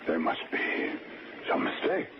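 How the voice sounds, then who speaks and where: An actor playing a polite, fussy headmaster in a 1960s radio drama, hesitant and apologetic. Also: male voice, a little slow, lightly acted. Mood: embarrassed. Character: flustered, polite, fussy English boarding-school headmaster. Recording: muffled, noisy old radio broadcast.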